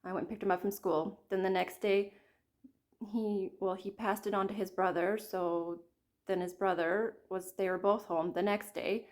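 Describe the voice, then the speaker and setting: Chatty woman with a croaky cold voice. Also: mid-range voice, level tone, normal speed. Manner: chatty, rambling, apologetic. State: fighting a cold, a little croaky. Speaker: cross-stitch vlogger. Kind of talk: casual chat to camera.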